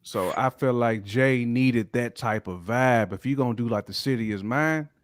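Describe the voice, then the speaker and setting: A man's voice: medium pitch, normal speed, no echo, studio quality. A man co-hosting a podcast, chatting casually about hip-hop, opinionated and slangy, repeating phrases for emphasis. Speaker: podcast co-host.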